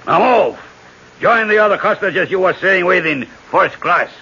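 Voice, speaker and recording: An actor playing a commanding, mocking hijacker boss in a 1970s radio drama. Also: male voice, high-pitched, expressive, moderate pace, sharp tone, slightly rough, full character voice. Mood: commanding, menacing. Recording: muffled, hissy 1970s radio broadcast.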